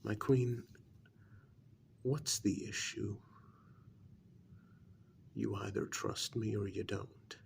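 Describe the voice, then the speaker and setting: A man playing a calm, blunt human familiar to a vampire queen in a soft, half-whispered ASMR role-play, speaking formally and deliberately. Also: fairly low pitch, vocal fry, slight background noise, heavily acted. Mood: calmly probing.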